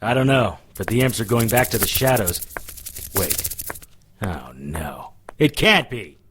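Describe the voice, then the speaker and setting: An actor playing a hard-boiled occult investigator in an audio drama, with a wry noir delivery. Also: male voice, fairly low pitch, lively intonation, measured pace, noisy background. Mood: tense, alarmed. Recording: loud guitar music behind.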